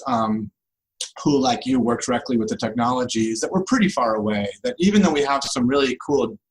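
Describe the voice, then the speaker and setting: A man's voice: medium pitch, rough recording. A man speaking as an expert guest in an interview, explaining things informally and checking in with rhetorical questions. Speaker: interview guest, law professor.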